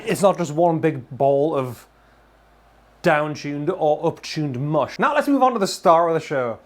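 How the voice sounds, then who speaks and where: An enthusiastic man talks through a guitar gear demo in a casual, informal style. His voice sits high for a man, and prominent electric guitar sounds along with it. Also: expressive, unhurried. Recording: electric guitar playing behind voice.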